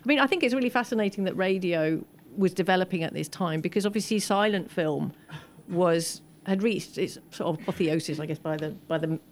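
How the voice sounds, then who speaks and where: A woman's voice: on the low side, a little fast, hardly any pauses, a little hiss or hum. Woman, enthusiastic film expert. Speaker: expert guest, film historian. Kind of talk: post-screening panel discussion.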